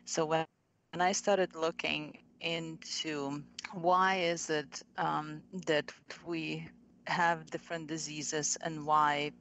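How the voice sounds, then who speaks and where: A woman's voice: low-pitched, little pitch movement, slow, fairly bright tone, poor audio. A middle-aged woman from Ukraine with a Ukrainian accent, speaking earnestly as an interview guest and often restarting her sentences. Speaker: guest, nutrition coach. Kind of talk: casual interview talk.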